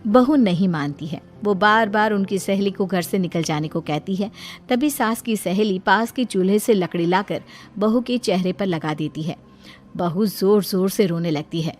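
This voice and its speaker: कहानी सुनाती महिला, पीछे हल्का संगीत. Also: female voice, mid-range voice, normal speed, darkish tone, soft background music. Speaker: storyteller. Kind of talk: scripted dramatic story narration.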